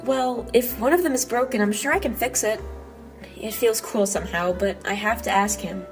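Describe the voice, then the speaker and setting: A woman voicing an earnest, determined robot heroine in an amateur comic dub, narrating in a reflective, measured way. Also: a little high, lightly acted. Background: clear music bed.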